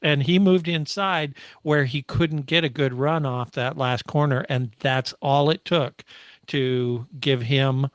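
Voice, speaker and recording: A man's voice: medium pitch, steady tone, slow pace, dry recording. A man co-hosting a motorsport podcast, recapping a race in casual, enthusiastic conversation.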